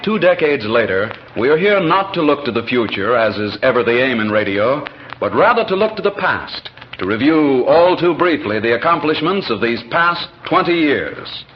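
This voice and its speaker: An American man narrating a scripted 1940s radio program in formal, measured oratory. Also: medium pitch, a little hiss or hum. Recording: muffled 1940s radio broadcast with music. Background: music.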